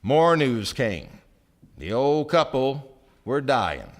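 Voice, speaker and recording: An actor playing a wry storyteller, narrating a radio drama on stage with theatrical emphasis and measured pauses. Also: male voice, medium pitch, very animated. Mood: gossipy, grave. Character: wry, dramatic storyteller of a small-town tale. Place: theatre stage before a live audience.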